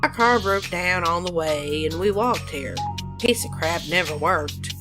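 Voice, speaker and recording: An actress playing a grumbling wife among survivors in a comic dub. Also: female voice, on the low side, expressive, a little slow. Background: loud background music.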